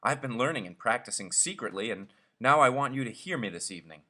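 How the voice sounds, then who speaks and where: A man's voice: mid-range voice, lively intonation, quick pace, bright, forceful tone. Man playing an eager, effusive headmaster. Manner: effusive and pressing. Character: eager, vain, ingratiating male headmaster, music-obsessed.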